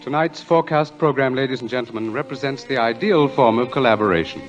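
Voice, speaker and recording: A man's voice: medium pitch, fast talker, dull audio. A man announcing a scripted radio program in a formal, dramatic 1940s broadcast style. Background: faint music.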